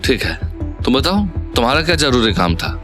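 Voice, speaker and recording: An actor playing an earnest, cautious young man in a Hindi audio drama, speaking in a measured, polite way. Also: mid-range voice, big pitch swings, over music, natural voice. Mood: casual, tired.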